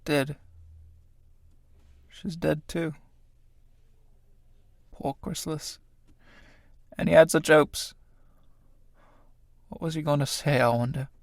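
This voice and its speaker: A man playing a battered tramp in a stage-play reading, with rough colloquial speech and a pitying, defiant temper. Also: fairly high pitch, fairly even intonation, moderate pace, long pauses, dry recording, studio quality, natural voice. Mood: pitying, musing.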